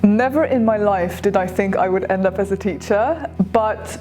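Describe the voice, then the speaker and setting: A woman's voice: mid-range voice, moderate pace, a little mellow, faint music behind. A woman from France, a university teacher, speaking fluently and earnestly in a documentary interview.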